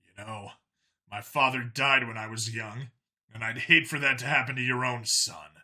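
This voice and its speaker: A man playing a cold, menacing human cop in an audio story, speaking in a controlled, measured way. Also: medium pitch, steady tone, pushed and bright. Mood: cold, quiet. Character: cold, menacing human vigilante cop holding a gunman's calm.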